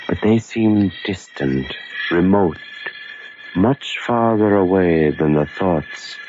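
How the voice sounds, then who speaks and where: An actor playing a solemn, ominous host, narrating portentously in old radio horror style. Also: male voice, deep voice, animated, slow pace, noisy background. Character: ominous, solemn male host of a mystery anthology. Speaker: announcer and narrator. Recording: muffled old radio recording. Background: music.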